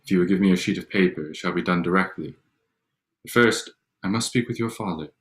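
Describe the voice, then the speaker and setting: A man playing a proud, reserved Regency suitor in a staged reading, formal, restrained and a little halting. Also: low-pitched, close to an everyday voice. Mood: sincere, restrained. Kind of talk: staged reading of a period drama.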